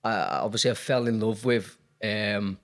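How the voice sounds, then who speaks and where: Low-voiced Liverpool man, chatty guest. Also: fairly even intonation, normal speed, fairly bright tone. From Liverpool, England. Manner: candid, rambling, many fillers. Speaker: dentist and business owner. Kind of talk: casual interview chat.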